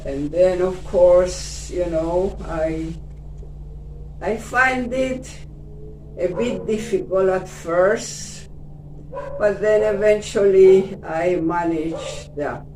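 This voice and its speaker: An older woman in her seventies from Cyprus, Greek is her first language and she speaks English with a Greek Cypriot accent, telling her life story gently and unhurriedly, often mentioning her faith. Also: lowish voice, extremely slow, a little hiss or hum. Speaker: interview guest. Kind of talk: casual life-story interview. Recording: echoey room, distant mic.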